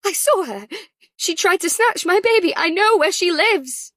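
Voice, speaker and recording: A frantic new mother, played by a woman narrator, crying out in a shrill, high voice. She is urgent and pleading, pushing her words hard in a thriller audiobook style, recorded close and dry. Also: animated, a little slow, sharp tone, no room sound, heavily acted. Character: panicked new mother on a hospital ward, insistent.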